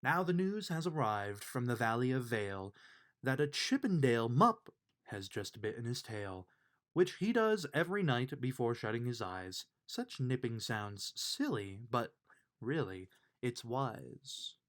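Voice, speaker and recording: A man reads a rhyming children's storybook aloud at an unhurried pace. His medium-pitched voice swings widely up and down, giving the verses an expressive, sing-song lilt. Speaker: reader of a children's book.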